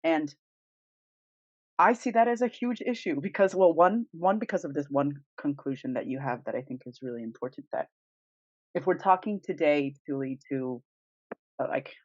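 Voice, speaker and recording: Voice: medium pitch, animated, moderate pace, dry recording. Muffled, dry podcast co-host speaking emphatically. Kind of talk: casual podcast discussion. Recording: dull, lo-fi dry recording.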